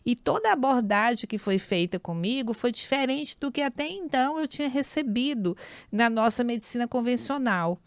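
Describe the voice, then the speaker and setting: A woman's voice: a little high, expressive, normal speed, no echo. A Brazilian woman in her late fifties, a podcast guest talking about herself in a conversational, storytelling way. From São Paulo and Goiânia, Brazil. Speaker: podcast guest, nurse and acupuncturist.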